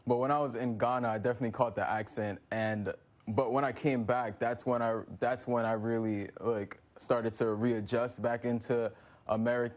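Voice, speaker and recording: A young man from New York answering interview questions in a relaxed, casual way, with frequent restarts. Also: medium pitch. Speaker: guest.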